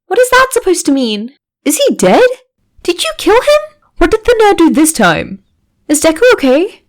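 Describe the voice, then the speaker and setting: Alarmed teenage students acted out by a woman narrator, very high and urgent. They exclaim their questions in a panic, as in a dramatized story. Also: wildly swooping pitch, normal speed, full character voice.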